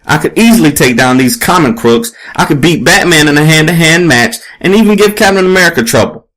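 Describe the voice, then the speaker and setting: A man's voice: a little high, animated, fairly quick, a bit bright, pitched above the performer's own voice. A man playing a proud, guarded retired boxing champion in an audiobook story, speaking in a matter-of-fact, evasive way.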